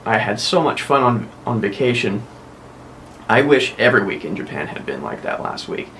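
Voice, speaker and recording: A man's voice: mid-range voice, big pitch swings, normal speed, a bit bright, a little hiss or hum, rough recording. A young American man hosting a casual weekly vlog, chatting and rambling with frequent self-corrections. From United States. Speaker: vlog host.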